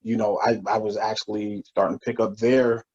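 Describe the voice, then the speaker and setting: A man from Albany, New York, a veteran guest telling relaxed stories in casual interview talk. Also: fairly low pitch, fairly quick, husky. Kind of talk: casual storytelling. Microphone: phone on speaker. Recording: muffled speakerphone call.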